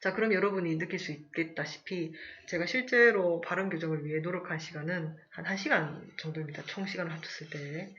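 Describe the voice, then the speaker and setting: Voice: medium pitch, a bit bright. Korean teacher talking through dull lo-fi audio. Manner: frequent throat-clearing, fillers, reads example sentences aloud slowly. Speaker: English conversation teacher. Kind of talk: live online class, casual teaching talk.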